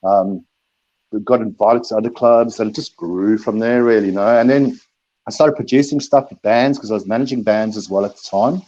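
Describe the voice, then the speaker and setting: A man's voice: lowish voice, moderate pace, mellow, highs cut off. A middle-aged man from South Africa, a DJ and producer, answering interview questions in a rambling way, repeating words and laughing often.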